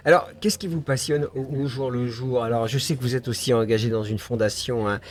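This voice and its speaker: A man's voice: mid-range voice, steady tone, fairly quick. A middle-aged man hosting a podcast, chatting in a jovial, informal interview style. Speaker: podcast host. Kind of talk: casual interview chat.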